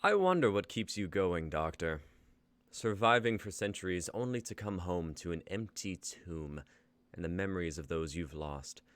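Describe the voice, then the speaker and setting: A man playing a battle-hardened young warrior, calm and taunting, in a live script reading. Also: sits low, normal speed, clear, resonant tone, dry recording. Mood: cold taunting.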